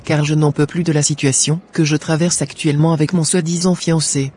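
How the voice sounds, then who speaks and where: Man voicing a husky young woman. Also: on the high side, flat delivery, a little fast, darkish tone. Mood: chatty. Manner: earnest, plaintive, then grateful and pious.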